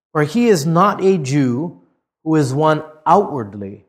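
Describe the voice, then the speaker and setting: A middle-aged man from Hawaii, preaching a sermon. He reads scripture aloud with rising emphasis, then talks conversationally with some fillers. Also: on the high side. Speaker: pastor. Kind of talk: expository sermon.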